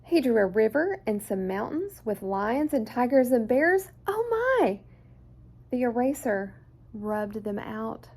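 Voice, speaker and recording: A woman reading a children's picture book aloud in an expressive, deliberate storyteller's way. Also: a little high, breathy edge. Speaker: children's story time host.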